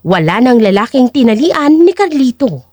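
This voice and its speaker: A woman's voice: on the high side, big pitch swings, normal speed, a little mellow, dry recording, clean studio sound. A woman narrating an audio drama in a scripted, dramatic storytelling style.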